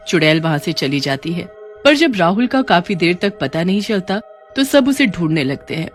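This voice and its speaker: A woman narrating a scripted cartoon horror story in an even, storybook manner. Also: fairly low pitch.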